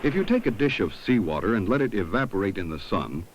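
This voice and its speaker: A man reading scripted 1970s documentary narration in a measured, instructive way, on an old film soundtrack. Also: on the low side, big pitch swings, a little hiss or hum, low-quality recording.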